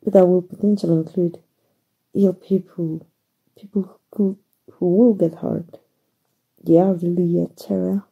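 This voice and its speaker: A woman acting in an ASMR roleplay as a flustered, soft-hearted young female hero, stammering hesitantly in a soft, husky voice with a Mexican accent. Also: on the low side, fairly even intonation, measured pace, pauses often, phone-quality sound, heavily acted. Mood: hesitant, earnest. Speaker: voice actor.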